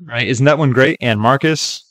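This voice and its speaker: A man giving a chatty, upbeat, casual software walkthrough as a tutorial presenter. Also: medium pitch, normal speed, soft background music. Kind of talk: casual screen-recording walkthrough.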